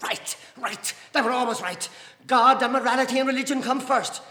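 A man's voice: extremely high pitch, steady tone, normal speed, pushed and bright, pitched above the performer's own voice. A man voicing a devout, furious older Irish woman in a storybook reading, ranting shrilly and righteously in defence of priests. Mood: angry, fervent. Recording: echoey hall reverb.

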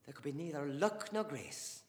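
A man doing a shrill, pious old woman. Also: expressive, moderate pace, close to an everyday voice. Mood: indignant. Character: fierce pious older woman, stern and indignant. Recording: echoey room with long reverb.

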